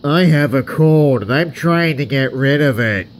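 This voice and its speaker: A man's voice: medium pitch, slow, slight background noise, voice pushed up, close to an everyday voice. A man voicing a glum little male engine who has a cold, in a storybook parody. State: stuffed up with a cold.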